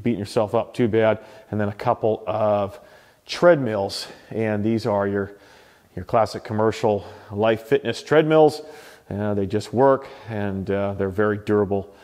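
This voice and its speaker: A man's voice: fairly low pitch, darkish tone, in a large hall. A man walking through his garage home gym, chatting offhandedly about his equipment, with loose fillers and self-corrections. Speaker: home gym owner giving a tour. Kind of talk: casual walkthrough commentary.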